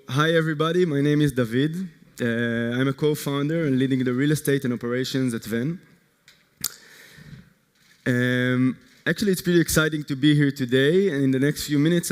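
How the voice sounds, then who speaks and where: A man from Israel, a startup co-founder, giving an earnest, energetic conference pitch that puts direct questions to the audience. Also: clean tone. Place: large conference hall. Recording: long hall reverb.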